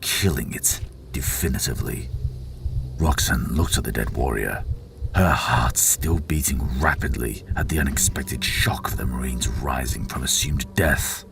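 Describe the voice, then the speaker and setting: Extremely deep, gravelly male narrator. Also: a little slow. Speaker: audiobook narrator, voice actor. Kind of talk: dramatic scripted fiction narration. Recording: voice over prominent background music.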